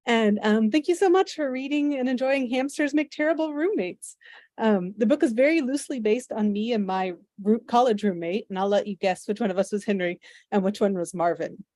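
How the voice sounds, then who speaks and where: A woman's voice: a little high, brisk, dark tone, no room sound, studio quality. A woman from Missouri, a children's book author, giving informal thanks over a video call. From small town in Missouri, United States.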